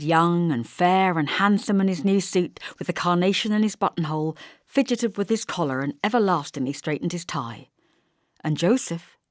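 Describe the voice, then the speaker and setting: Female audiobook narrator, literary fiction. Lower register, measured but expressive delivery, close dry sound. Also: no room sound.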